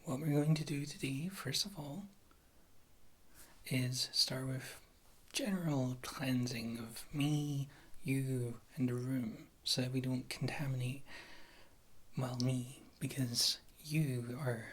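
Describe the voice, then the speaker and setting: A performer playing a wry, condescending witch healer in a whispered ASMR fantasy roleplay, with a soft, breathy voice and a halting delivery. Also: medium pitch, fairly even intonation. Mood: wry, unhurried. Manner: slow, halting, dryly disdainful.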